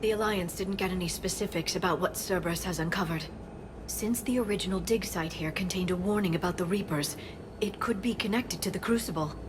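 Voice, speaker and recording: A woman's voice: on the low side, brisk, noisy background, lightly acted. A woman playing an earnest, scholarly, hopeful alien scientist in a video game. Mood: earnest, focused. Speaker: scientist and expert.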